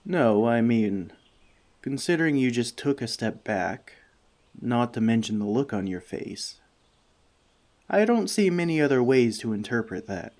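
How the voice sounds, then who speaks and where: A man playing a friendly forest elf in an ASMR roleplay, talking to the listener with dry, gentle teasing. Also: mid-range voice, sing-song, unhurried. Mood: wry, cautious.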